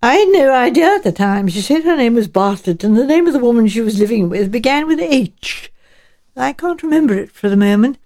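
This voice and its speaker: Shrewd, genteel old English lady detective for audiobook woman performing; soft-edged tone, thoughtful and chatty. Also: fairly high pitch, lively intonation, fairly quick. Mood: chatty, puzzled. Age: elderly. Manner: musing, hedging, politely chatty. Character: shrewd, genteel elderly village spinster turned amateur sleuth.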